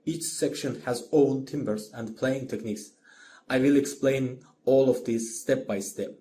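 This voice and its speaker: A man's voice: mid-range voice, steady tone, measured pace, warm tone. A man with an Azerbaijani accent narrates a scripted lecture as a teacher, methodically, in careful short phrases. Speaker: orchestration teacher.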